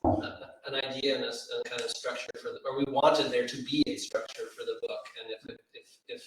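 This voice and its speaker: A man explaining informally as an editor at a literary event, halting and correcting himself as he goes. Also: medium pitch, steady tone, slight background noise. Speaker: anthology editor and panel speaker. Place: reverberant library room, live event. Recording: very echoey room, distant mic.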